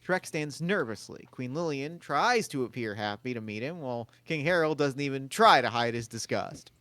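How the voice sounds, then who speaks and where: A man's voice: medium pitch, animated, moderate pace, sharp tone. A man narrating scripted stage directions at a comedic table read.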